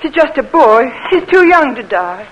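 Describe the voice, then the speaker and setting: Impassioned, high-voiced young belle. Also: female voice, sing-song, normal speed, highs cut off. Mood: pleading. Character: impassioned young Southern belle in Civil War. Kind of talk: old-time radio drama acting. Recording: noisy vintage radio recording.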